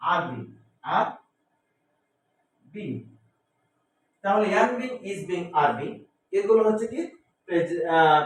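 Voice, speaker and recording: Really echoey far-off mic, bengali guy teaching grammar, repeats stuff and asks questions he answers himself. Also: sits high. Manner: didactic, repeats phrases and spells words out, asks and answers own questions. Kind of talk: classroom-style grammar lesson. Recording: echoey room, distant mic, faint noise.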